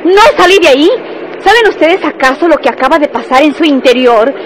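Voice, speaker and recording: Casting: defiant captive woman in crime radionovela, high pitch, insistent delivery, noisy old recording. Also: expressive, brisk. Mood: defiant pleading. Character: defiant captive gangster's woman accused of betrayal. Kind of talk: Spanish-language radionovela acting.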